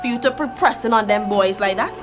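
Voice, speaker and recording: An actress playing a fiery, resolute Trinidadian community matriarch in a radio drama, commanding and insistent, full of challenging questions. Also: female voice, medium pitch, fast, loud music behind the voice, close to an everyday voice. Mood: pleading, indignant.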